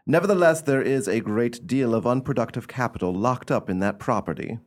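A man's voice: medium pitch, lively intonation, brisk, lightly acted. A man playing a haughty, dismissive landowning lord in a radio play, speaking stiffly and formally. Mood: guarded, dismissive.

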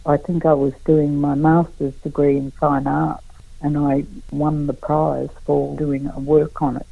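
A middle-aged woman from Australia answers radio interview questions over a tinny phone line, her speech slow and unhurried. Her voice carries a gravelly, creaky texture, and the sound is dry and close. She talks haltingly, with many pauses and fillers. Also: deep voice, little pitch movement, no echo. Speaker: guest artist.

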